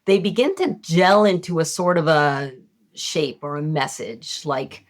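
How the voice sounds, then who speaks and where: A woman's voice: low-pitched, a little slow. A middle-aged woman, a hypnotist and medium, talking candidly and casually as a podcast guest. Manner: casual and candid, occasional swearing. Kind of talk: podcast interview, conversational teaching.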